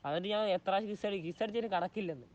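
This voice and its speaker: A man's voice: sits high, rapid-fire, darkish tone. A man in casual, argumentative chat. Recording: distant dull audio, faint noise.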